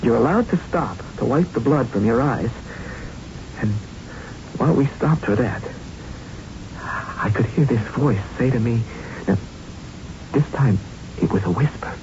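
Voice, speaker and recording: An actor in a 1970s radio drama playing a tough, haunted young boxer who tells his story in a halting, intense, streetwise way. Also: medium pitch, animated. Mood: intense, defiant. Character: haunted young male boxer, tough, plain-spoken, shaken. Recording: muffled, noisy 1970s radio recording.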